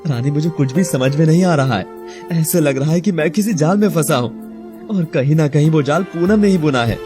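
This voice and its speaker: A man's voice: fairly high pitch, moderate pace, music in the background, close to an everyday voice. A man acting a remorseful young married man in an audio story, speaking in an anguished, imploring, dramatic way. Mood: troubled. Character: remorseful married young man pleading with his childhood love.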